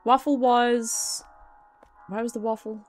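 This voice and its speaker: A woman's voice: fairly high pitch, little pitch movement, slow, a little mellow, soft background music. A British woman giving casual, playful, sweary live commentary as she streams a video game. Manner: playful, sweary, chatting to viewers.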